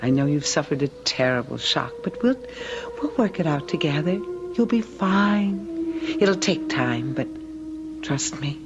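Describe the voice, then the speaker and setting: An actress playing an anxious, devoted wife searching for her lost husband in an old-time radio drama, speaking in a halting, fervent way. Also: deep voice, animated. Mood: tender, relieved. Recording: muffled old radio, loud music behind.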